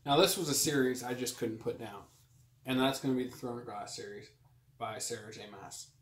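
A young adult man talks casually about books. His pitch is average for a man. The sound is echoey, recorded at camera distance in a room at home. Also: brisk, fairly bright tone, slight background noise. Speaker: book vlogger co-host. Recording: roomy echo from camera distance.

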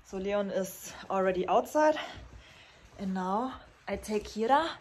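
Young woman, German accent, animated pitch, unhurried vlog chat, faint background noise. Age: 20s. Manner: playful with her puppy. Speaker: vlogger. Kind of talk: casual vlog chat and narration.

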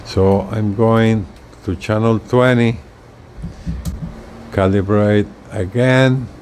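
An older man giving a casual, hands-on product demonstration with halting, unpolished delivery, recorded outdoors on a porch. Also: on the low side, fairly even intonation, darkish tone. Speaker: video creator demonstrating radio gear. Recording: outdoor ambient noise.

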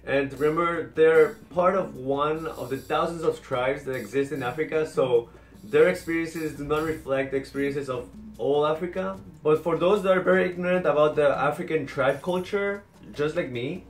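A man's voice: a little high, normal speed. A man in his twenties casually interviewing people as a host. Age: 20s. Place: small indoor room. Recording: roomy, distant mic, music underneath.

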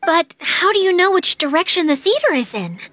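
A bubbly young girl character from a video game. Her very high, bright voice is perky and theatrical, with lively swings. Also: a little fast, no echo, full character voice. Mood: cheerful.

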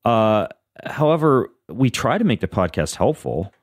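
Low, dry-voiced male podcast host. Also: a little slow, no room sound. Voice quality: self-described monotone. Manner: rambling, lists things off, frequent fillers and restarts. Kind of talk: casual podcast chat.

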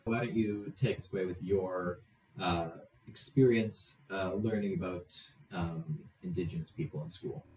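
A man's voice: low-pitched, normal speed, a little mellow, a little hiss or hum. A teenage boy from Saskatchewan with a Canadian accent, co-hosting a casual podcast discussion. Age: teens. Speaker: podcast co-host. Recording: roomy, distant microphone.

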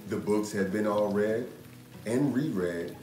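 Low-voiced man reading a storybook, roomy sound. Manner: measured, expressive storytelling. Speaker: storytime reader, host. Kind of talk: scripted children's picture book read-aloud. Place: indoor room. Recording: roomy echo. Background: music.

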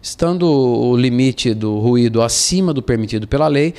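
A male official in his forties gives explanatory interview answers with a Brazilian accent. His mid-pitched voice is formal and halting, with hesitant pauses, picked up by a handheld microphone indoors. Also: mellow, clear, resonant tone. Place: indoors against a plain wall. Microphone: handheld interview microphone held to him.

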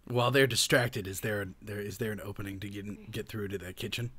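A man's voice: fairly low pitch, fairly even intonation, quick pace, fairly bright tone, husky. A man improvising casually as a player in a tabletop game. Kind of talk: casual improvised role play. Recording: music behind.

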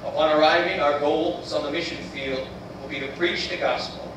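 A man in his thirties from the United States, giving an earnest, measured missionary presentation. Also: fairly high pitch, steady tone. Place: church sanctuary, voice played on screen video. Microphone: recorded from room speakers by far camera. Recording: roomy, distant, noisy church playback.

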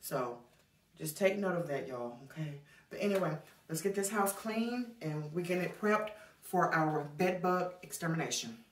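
A woman's voice: low voice, expressive, normal speed, recorded from a distance, a little hiss or hum. Woman, 30s, home vlogger, talks to camera like a friend; camera set back in a bare, echoey room. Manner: chatty, addresses viewers as y'all. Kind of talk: casual chat to camera. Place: small indoor hallway, later a child's bedroom. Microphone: camera on a stand a few feet away.

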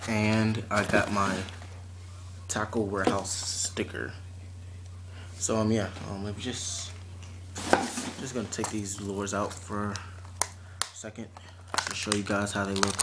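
A young man in his late teens chatting casually and haltingly as he presents items to a camera at home. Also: on the low side, flat delivery. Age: teens to young adult. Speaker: vlogger showing fishing tackle. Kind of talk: casual unscripted unboxing chat. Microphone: camera or phone mic at arm's length. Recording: noisy amateur recording with clicks and rustling.

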